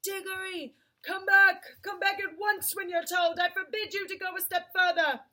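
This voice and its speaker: Storybook blustering uncle, played by a woman: nervous authority, raised scolding voice, high and sharp. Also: level tone, normal speed, exaggerated voice. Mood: frightened scolding. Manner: trembling, scolding shout. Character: fearful, blustering, bossy older uncle.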